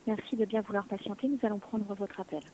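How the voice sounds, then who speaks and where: A woman's voice: medium pitch, steady tone, fast. A woman reading a recorded clinic greeting that asks callers to hold, heard over the telephone. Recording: tinny, noisy phone line.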